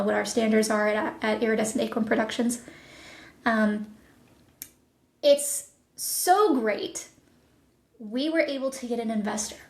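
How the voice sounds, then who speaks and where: A woman speaking as an interview guest and producer, pitching a project conversationally with enthusiasm and thoughtful pauses. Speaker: podcast guest, audio drama producer. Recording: echoey, roomy sound.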